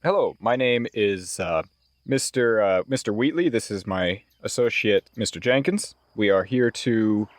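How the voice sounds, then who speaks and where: A man playing a businesslike investigator in a tabletop game, speaking in a formal, measured, wordy way. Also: on the low side, animated, dry recording, studio quality. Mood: polite, official. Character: businesslike male investigator posing as a law firm's representative.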